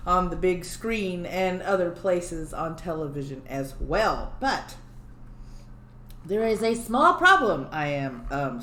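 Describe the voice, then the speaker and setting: A woman's voice: on the low side, fairly bright tone. A woman hosting a livestream on her own, chatting casually and joking about her own technical glitches. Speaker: livestream host. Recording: echoey room with faint background hum.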